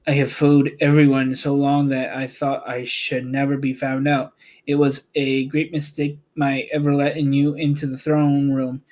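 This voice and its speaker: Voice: medium pitch, little pitch movement, moderate pace, a little mellow, natural voice. A man voicing an apologetic little humbug wizard who explains himself in a storybook reading. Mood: anxious confession.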